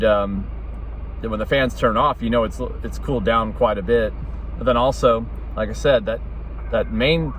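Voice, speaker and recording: A man's voice: mid-range voice, fairly bright tone, slight background noise. An American man giving a casual, rambling explainer in an informal, conversational way, like a hobbyist presenting a video.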